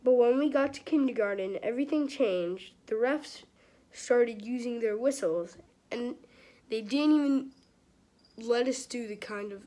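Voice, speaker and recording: A woman's voice: fairly high pitch, measured pace, warm tone. A woman reading a children's book aloud in a casual, stumbling way, with chatty, informal asides. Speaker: amateur reader of a children's book. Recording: clearly noisy home recording with clicks.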